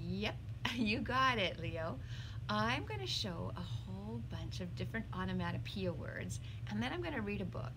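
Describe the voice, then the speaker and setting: A middle-aged woman doing an expressive, theatrical read-aloud of a picture book for young children. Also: mid-range voice, normal speed, noisy background. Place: small indoor room with bookshelves.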